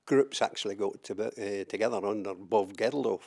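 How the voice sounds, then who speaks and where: A man's voice: on the low side, normal speed, gravelly, a little mellow. An older Scottish man from southwest Scotland, recalling his life in an oral history interview, hesitant with many fillers and repeated words. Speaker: interviewee, trade unionist.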